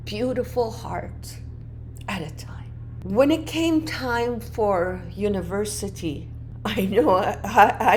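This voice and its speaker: Earnest woman host, slow reflective storytelling. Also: medium pitch, slight background noise. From moved to Canada as a child, not a native English speaker. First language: not English. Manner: reflective, earnest, with wide swings between emphatic and hushed phrases. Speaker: podcast host. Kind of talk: personal storytelling monologue.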